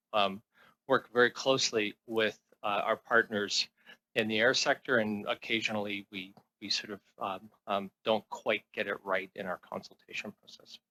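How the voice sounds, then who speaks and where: Male senior official answering committee questions; low-pitched, bright, formal and diplomatic, halting with repeated words. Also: fairly even intonation, slight background noise, rough recording. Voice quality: hesitant delivery, frequent stumbles and repeated words. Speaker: senior transport department official.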